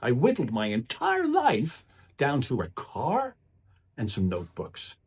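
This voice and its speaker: A man's voice: medium pitch, wildly swooping pitch, unhurried, husky. A man delivering a motivational speech in an earnest, emphatic way, often posing rhetorical questions. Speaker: public speaker.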